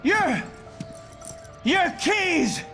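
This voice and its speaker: A woman's voice: very high voice, sing-song, drawn-out words, fairly bright tone, soft background music, exaggerated voice. An actress playing a flustered small-town woman calling out in a video game cutscene. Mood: flustered, urgent.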